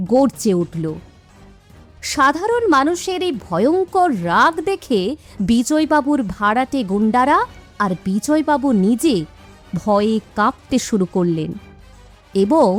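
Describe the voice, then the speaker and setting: Lively high-voiced woman narrating a story.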